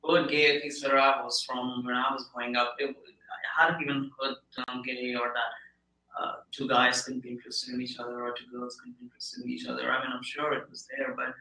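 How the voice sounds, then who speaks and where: A man's voice: mid-range voice, level tone, a little fast, sharp tone. A man in his thirties with an Indian accent, talking casually and reflectively about himself as an interview guest, with hesitant phrasing. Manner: reflective, hesitant phrasing with many "you know". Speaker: podcast guest, product manager. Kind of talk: casual interview, personal account. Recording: echoey room.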